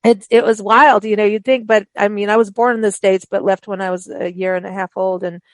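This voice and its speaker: Woman radio host from africa, chatty and animated, middle pitch, dry close sound. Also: brisk, no room sound. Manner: chatty and animated, with frequent laughs and restarts. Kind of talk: casual interview chat.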